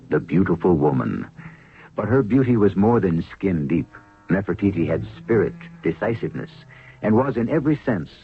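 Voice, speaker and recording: A man narrating as host of a radio drama, measured and theatrical, with deliberate pauses. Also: low-pitched, breathy edge. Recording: phone-like vintage radio recording with faint music.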